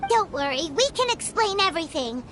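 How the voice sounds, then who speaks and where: Little childlike anime companion, extremely high pitch, blunt, helpful, perky, loud noise behind. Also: female voice, very animated, a little fast. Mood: chirpy, concerned. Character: tiny chirpy childlike companion, outspoken and helpful. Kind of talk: anime game voice acting.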